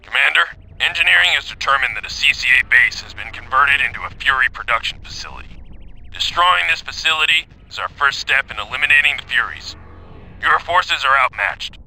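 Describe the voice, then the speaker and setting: An actor playing a brisk, businesslike military officer giving a formal, clipped mission briefing in a video game. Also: male voice, medium pitch, expressive, creaky, full character voice, low-quality recording. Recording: loud engine-like drone over the voice. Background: loud aircraft-like machinery ambience.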